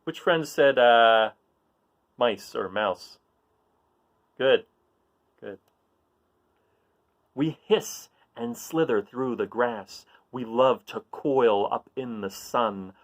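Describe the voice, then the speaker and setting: A man's voice: medium pitch, extremely slow, long pauses. A man hosting a children's read-aloud, reading a picture book and chatting in an encouraging way, often putting questions to his listeners.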